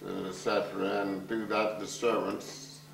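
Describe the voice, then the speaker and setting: Slow, creaky older man. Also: fairly low pitch, little pitch movement. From Texas, United States. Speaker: documentary interviewee. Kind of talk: slow spoken reminiscence. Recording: roomy, distant mic, faint noise.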